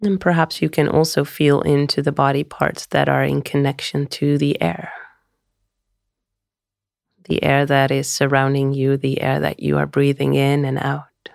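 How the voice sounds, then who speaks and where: A woman guiding a meditation in a soothing, gentle, unhurried way, often trailing into a breathy near-whisper. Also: a bass voice, completely flat delivery, dry recording, clean studio sound. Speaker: meditation guide. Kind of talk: guided meditation.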